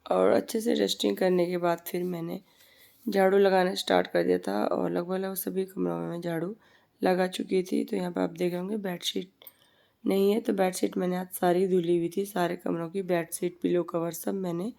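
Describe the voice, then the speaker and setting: A woman in her thirties with a hoarse, heavy voice from a sore throat, chatting and rambling through a casual voice-over for her family vlog. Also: lowish voice, little pitch movement, normal speed, warm tone. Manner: chatty, rambling, repeats herself. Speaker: family vlogger. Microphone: voice-over recorded separately from the footage.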